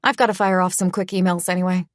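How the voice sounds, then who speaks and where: A woman's voice: lowish voice, steady tone, quick pace, no room sound, studio quality, natural voice. A woman voicing a caring, upbeat young female best friend in a breezy, reassuring manner.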